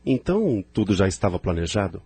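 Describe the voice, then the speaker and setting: Man playing a deep, mellow reflective spirit. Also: big pitch swings, brisk, vocal fry, slightly rough, no room sound, exaggerated voice. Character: thoughtful male spirit listener, calm and reflective. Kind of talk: audio drama acting.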